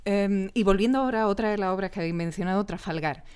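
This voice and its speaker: A woman interviewer with a Spain accent speaks in a medium-pitched voice. She chats casually with her guests in a studio, sharing her own impressions. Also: fast talker, darkish tone, clear, resonant tone. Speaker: interviewer, host. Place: radio drama studio.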